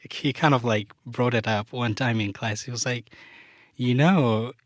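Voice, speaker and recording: A man's voice: mid-range voice, measured pace, no echo, clean studio sound. A young man, a Luganda speaker, chatting casually as a podcast guest and using many fillers. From Uganda. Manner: relaxed, many fillers like "like" and "you know". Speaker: guest, software developer.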